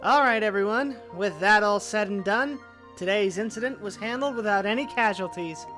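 A woman's voice: medium pitch, normal speed, a bit bright, soft background music, natural voice. A woman voicing a brash, bossy shrine maiden with a menacing streak in an amateur comic dub. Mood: cheerfully threatening.

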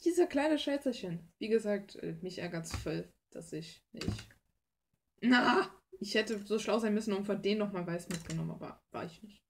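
A young woman with a mid-pitched voice rambles casually in a hobby vlog. Her way of talking is blunt and slangy, with a self-deprecating streak. She is recorded indoors at a desk in a small room. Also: lively intonation, normal speed, slight background noise. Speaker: hobby artist and video creator. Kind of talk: casual rambling chat while painting.

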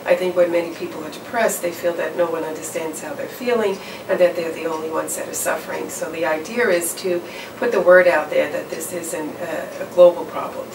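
A middle-aged woman explains things in a measured, low and fairly flat voice, pausing on fillers and restarting phrases. The mic sits at a distance, so the sound is roomy, with steady background noise. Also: vocal fry. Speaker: campus counseling professional. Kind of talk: interview answers. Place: office or studio in front of a backdrop.

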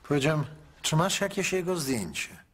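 A male actor playing a grown son in a radio drama, speaking in a measured, heavy, quietly pleading way. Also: a little high, big pitch swings, breathy edge. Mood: weary, pleading. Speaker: son narrating his story.

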